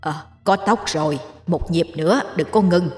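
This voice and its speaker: A woman voicing a calm, warm spirit-like woman speaking through a car radio, giving steady instructions in an audio story. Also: lowish voice, moderate pace, roomy sound, big-room sound, faint music behind, lightly acted. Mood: calm, instructing.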